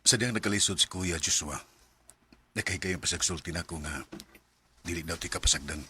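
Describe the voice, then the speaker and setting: An actor with a Cebuano Filipino accent playing an attentive, solicitous brother-in-law in a radio drama, with some noise behind. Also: male voice, low voice, fairly quick, bright, forceful tone. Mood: consoling. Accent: Filipino, Cebuano-speaking. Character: attentive younger brother of a missing husband, courting gently. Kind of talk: Philippine radio drama acting.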